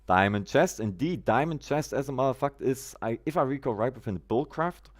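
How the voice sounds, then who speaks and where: A man's voice: medium pitch, animated, brisk. A man from Germany, chatting casually on a gameplay live stream, greeting viewers by name in quirky, roundabout phrasing.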